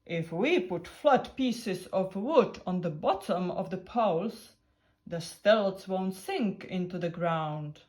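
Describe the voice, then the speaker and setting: A woman voicing a clever, calm male elephant in a storybook, thoughtful and reassuring. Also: fairly low pitch, slow pace. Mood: scheming, explanatory. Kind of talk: storybook character reading.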